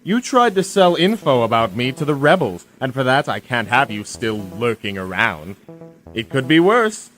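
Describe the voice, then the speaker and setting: Man playing a theatrical, smug villain with swooping pitch. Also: very animated, fairly bright tone, faint music behind, rough recording. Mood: cold, mock merciful. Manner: menacing, theatrical, drawn-out delivery. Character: smug male villain, self-proclaimed ruler of the universe. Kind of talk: comic sci-fi radio drama.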